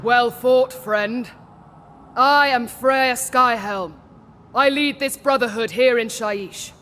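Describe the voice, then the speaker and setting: An actress playing a righteous, defiant warrior commander in a video game cutscene, solemn and declamatory. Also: female voice, a little high, slow pace, in a large hall, noisy background. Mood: resolute.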